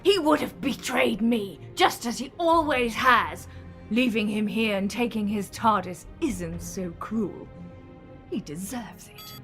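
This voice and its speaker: Woman playing a high, slow, melodramatic villainess. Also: fairly bright tone, faint music behind. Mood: bitter. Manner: melodramatic, pleading. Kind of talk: audio drama acting.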